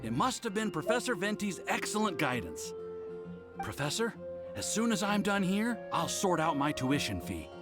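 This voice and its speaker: A man's voice: high voice, sing-song, normal speed, music in the background. A man voicing an earnest, self-doubting young male alchemist in a video game, awkward and flustered. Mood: amazed, grateful.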